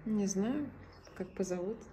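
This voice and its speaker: A middle-aged woman in a casual, flirty phone chat. Her voice sits at an ordinary pitch and she stays composed, teasing and a little evasive. There is faint noise from the room. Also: unhurried, dark tone. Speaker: social media video creator. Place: small indoor room at home. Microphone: phone at arm's length.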